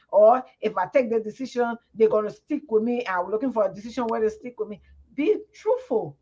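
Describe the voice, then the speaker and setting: A woman from Liberia with a Liberian English accent, a panelist in a live TV discussion, speaking earnestly and often repeating words as she searches for them. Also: fairly high pitch. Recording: muffled lo-fi broadcast audio.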